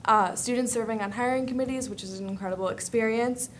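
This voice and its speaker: A woman's voice: on the high side, quick pace. A teenage young woman giving an informal group presentation as a student club member, in a chatty, upbeat way. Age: teens. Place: indoor school board meeting room. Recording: faint room noise, public meeting.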